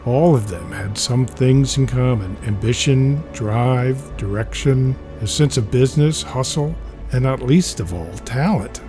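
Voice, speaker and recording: A man's voice: medium pitch, measured pace, few pauses, over music. A man giving a scripted narration, reading a memoir-style comic aloud.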